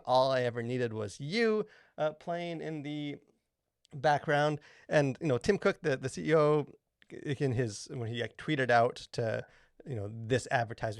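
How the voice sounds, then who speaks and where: A man hosting a casual podcast discussion, talking in a halting, rambling way full of filler words. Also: mid-range voice, no echo, clean studio sound. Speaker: podcast host.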